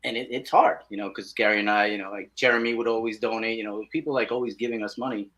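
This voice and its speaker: A man's voice: fairly low pitch, fairly even intonation, fast, fairly bright tone. A man chatting informally as an independent film guest, speaking in short bursts. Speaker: independent filmmaker, podcast guest. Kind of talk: casual chat.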